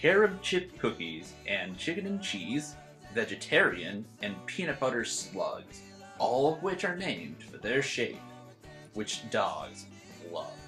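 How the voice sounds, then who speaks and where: A man narrating a scripted how-to voice-over in an animated, instructional way. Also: on the low side, slow. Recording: voice-over with faint background guitar.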